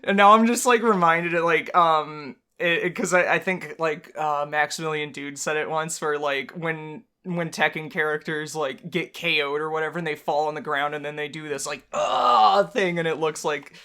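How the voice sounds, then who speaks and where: A man's voice: fairly high pitch, moderate pace, few pauses, bright, forceful tone, clear, resonant tone. A man co-hosting a let's-play, joking casually in a goofy, self-mocking way while he plays. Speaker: co-host of a let's-play show. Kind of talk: casual joking banter while playing a game.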